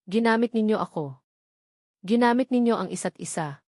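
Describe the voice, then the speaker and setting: A woman voicing a cold, betrayed young heiress with flat delivery in an audiobook. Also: mid-range voice, normal speed, dry recording, studio quality, close to an everyday voice. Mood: cold, bitter. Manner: flat, bitter. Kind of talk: audiobook character dialogue.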